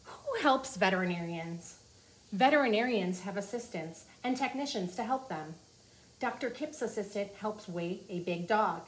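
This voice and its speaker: A woman's voice: medium pitch, lively intonation, normal speed. A woman in her forties reading a children's nonfiction book aloud like a teacher, explaining things simply to young listeners in a small office. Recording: clearly noisy room ambience.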